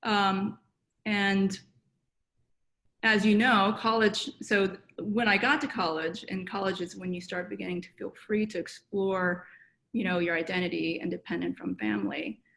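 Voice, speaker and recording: A woman psychotherapist from South Korea, answering interview questions thoughtfully over a video call, with many pauses and fillers. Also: medium pitch, creaky, fairly bright tone. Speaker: guest psychotherapist. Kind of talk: reflective personal interview answers.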